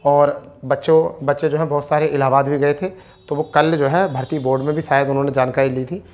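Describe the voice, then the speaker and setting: A man in his thirties with a slightly high voice gives an informal lecture as a coaching teacher. He restates important phrases to drive them home, speaking into a lapel mic in an indoor studio. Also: dull audio. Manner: repeats key phrases for emphasis. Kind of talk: informal explanatory lecture to students. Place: indoor teaching studio in front of a display board.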